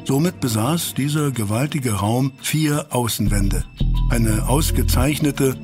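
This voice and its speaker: A man playing a courteous Victorian gentleman who chronicles detective cases in a formal, measured, literary manner for a radio play. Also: mid-range voice, natural voice. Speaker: narrator and lead actor in an audio drama. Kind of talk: radio play narration and dialogue. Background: music.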